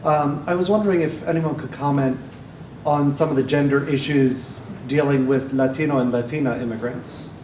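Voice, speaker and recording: A man in the audience with a booming voice asking the panel a question. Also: fairly even intonation, normal speed, a little mellow. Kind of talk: question from the floor. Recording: echoey room from far off with noise.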